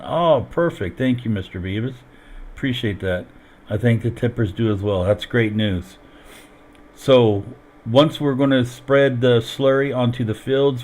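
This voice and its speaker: A man's voice: medium pitch, a little mellow. A man streaming a farming game while sick and losing his voice, explaining each step as he plays into a headset. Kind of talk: casual gameplay commentary.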